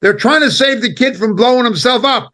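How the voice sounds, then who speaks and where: A man giving impassioned spoken commentary as a preacher, indignant and emphatic, speaking in short halting phrases. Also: extremely high pitch, sharp tone.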